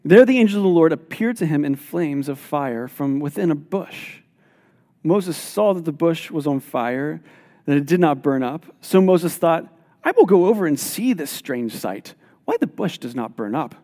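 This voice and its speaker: A man preaching a sermon as pastor, reading scripture aloud and explaining it in an animated, emphatic way. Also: on the high side, normal speed. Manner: animated, self-deprecating, emphatic. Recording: long hall reverb.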